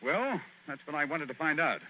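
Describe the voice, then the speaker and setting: An actor playing a brisk, confident detective with crisp, ironic delivery in a 1940s radio play. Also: male voice, a little high, animated, a little hiss or hum, close to an everyday voice. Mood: wry. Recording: tinny old radio broadcast.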